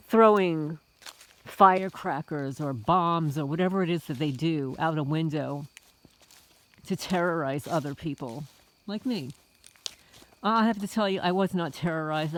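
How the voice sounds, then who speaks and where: A middle-aged woman from the Bronx talking to viewers in a casual, indignant vlog monologue full of rhetorical questions. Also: fairly low pitch. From the Bronx, New York. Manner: indignant, rhetorical, addresses listeners as folks. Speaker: homesteading vlogger.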